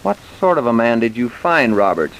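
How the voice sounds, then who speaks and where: Probing, medium-pitched detective. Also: male voice, big pitch swings, normal speed, slight background noise, poor audio. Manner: direct, interrogating, emphatic. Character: probing, businesslike police detective, baffled by his case. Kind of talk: old-time radio drama acting.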